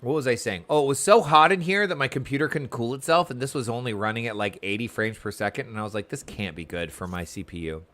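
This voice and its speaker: A man's voice: mid-range voice, fast, hardly any pauses, fairly bright tone. A man chatting casually on a livestream, commenting on the game he plays and talking to his viewers. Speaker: livestreamer playing an online game.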